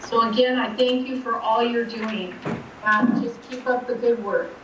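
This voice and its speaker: A woman's voice: fairly high pitch, steady tone, measured pace. A woman making a blunt, indignant public comment at a meeting. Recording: echoey room, distant, faint noise.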